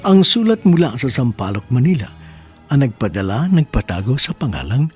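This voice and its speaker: A man's voice: medium pitch, very animated, normal speed, soft background music. A man hosting and narrating a radio drama, speaking formally, earnestly and politely.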